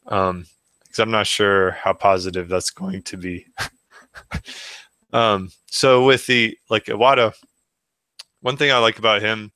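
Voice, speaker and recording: A man co-hosting a podcast, speaking in a halting way and repeating words as he works out his thoughts. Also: lowish voice, sharp tone, smooth, dry recording. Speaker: podcast co-host. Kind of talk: casual podcast chat.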